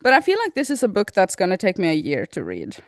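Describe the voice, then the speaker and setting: A woman's voice: on the low side, wildly swooping pitch, quick pace, no room sound. Female podcast co-host, informal and wry, conversational delivery, very dry close recording. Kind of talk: casual podcast chat.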